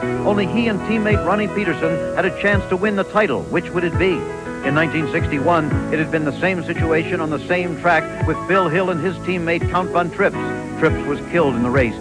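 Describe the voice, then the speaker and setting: A man narrating a sports television feature from a script, with measured, dramatic storytelling. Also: fairly high pitch, rough recording. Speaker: sports documentary narrator and host.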